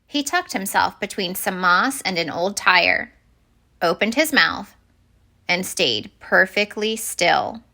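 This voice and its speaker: A woman reading a children's picture book aloud as expressive story-time narration. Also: medium pitch, takes it slowly.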